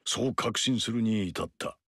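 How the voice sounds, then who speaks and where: An actor playing a dignified samurai general in a video game, speaking with formal, measured courtesy. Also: male voice, lowish voice, a bit bright, slightly rough, no room sound, studio quality. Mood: earnest. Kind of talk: video game story dialogue.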